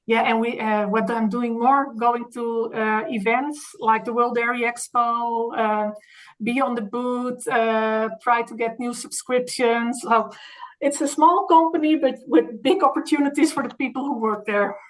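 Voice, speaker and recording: A woman with a Dutch accent, a native Dutch speaker, answering interview questions conversationally in halting, non-native English phrasing. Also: fairly high pitch, fairly even intonation, poor audio. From Netherlands, Friesland. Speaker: interview guest, dairy marketing salesperson.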